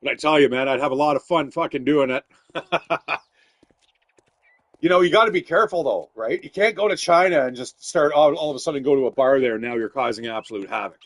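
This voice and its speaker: A man giving loud, rambling, profanity-filled commentary while playing a video game. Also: medium pitch, brisk, dry recording. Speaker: gaming streamer. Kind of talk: casual gameplay commentary.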